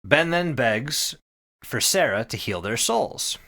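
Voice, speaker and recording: A man's voice: medium pitch, sing-song, slow pace, vocal fry, sharp tone. A man hosting a podcast, telling a story in casual, emphatic chat with plenty of swearing. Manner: profane, emphatic, repeats phrases for effect.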